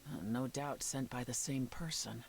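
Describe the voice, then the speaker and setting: A grave goddess from a dramatic video game, with a deep female voice. She speaks in a measured, sombre, matter-of-fact manner over audible hiss. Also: fairly even intonation, vocal fry, darkish tone. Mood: probing. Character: Persephone, grave, world-weary goddess of the underworld.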